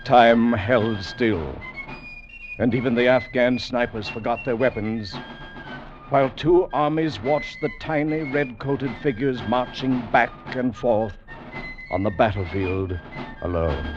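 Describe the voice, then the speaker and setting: An actor narrating an old radio war drama in a grave, dramatic storytelling manner. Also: male voice, mid-range voice, slow, lightly acted. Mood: solemn, suspenseful. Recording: dull vintage radio recording, music behind.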